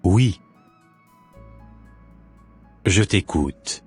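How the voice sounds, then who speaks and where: A man's voice: deep voice, expressive, very slow, husky, soft background music, exaggerated voice. A man voicing a teenage boy who persistently courts a girl, coaxing and insistent. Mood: pleased.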